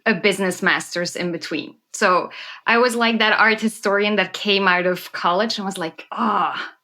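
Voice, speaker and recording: A Belgian woman in her thirties, a podcast guest who tells her story with lively enthusiasm. Her voice is bright and clear, sitting at an ordinary middle pitch. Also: normal speed. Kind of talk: conversational interview.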